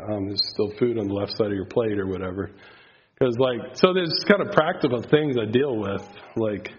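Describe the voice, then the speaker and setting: A man's voice: lowish voice, normal speed. Man giving a rambling faith testimony. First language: Pennsylvania Dutch. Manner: casual, rambling, with filler words. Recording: slightly dull, lo-fi sound.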